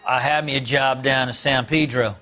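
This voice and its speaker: A man's voice: medium pitch, steady tone, normal speed, bright, forceful tone, soft background music, natural voice. Man playing a folksy down-and-out ex-convict. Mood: matter-of-fact. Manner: evasive, folksy drawling grammar. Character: down-and-out folksy ex-convict, sullen and slippery. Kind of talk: cinematic video game acting.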